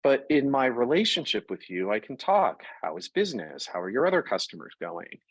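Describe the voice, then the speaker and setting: A man being interviewed about his work in fashion, talking in a lively, conversational way and working through examples with frequent restarts. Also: mid-range voice, fairly quick, husky, dry recording. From Puerto Rico. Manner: animated, talks through examples with self-corrections. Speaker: interview guest, fashion product executive.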